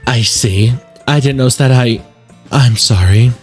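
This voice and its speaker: A man's voice: medium pitch, unhurried, slightly rough, faint music behind. A man voicing an earnest, bookish teenage girl with an articulate, proper manner in a visual novel game. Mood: apologetic.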